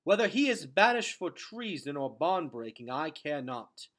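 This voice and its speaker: An angry, scornful councilwoman from a fantasy storybook, acted out by a man. The delivery is emphatic and forceful, bright and animated in pitch. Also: moderate pace.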